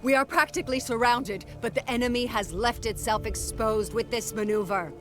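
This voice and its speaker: A woman's voice: fairly high pitch, moderate pace, a bit bright, soft background music, lightly acted. A woman playing a dutiful, grave military officer in a fantasy game, speaking formally as in a briefing. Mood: grave, urgent. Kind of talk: dramatic fantasy game cutscene acting.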